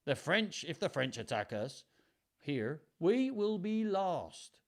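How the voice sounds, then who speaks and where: A man's voice: fairly high pitch, big pitch swings, takes it slowly, voice pushed up, exaggerated voice. A man doing an anxious, murmuring old duke. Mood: worried. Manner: anxious, hushed. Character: worried older male duke advising his king.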